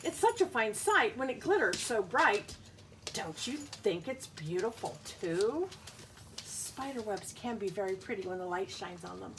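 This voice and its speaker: A woman's voice: medium pitch, very animated, a little slow, a little hiss or hum, rough recording. A woman teacher reading a picture book aloud, explaining things simply and putting questions to young children. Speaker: schoolteacher reading aloud to pupils.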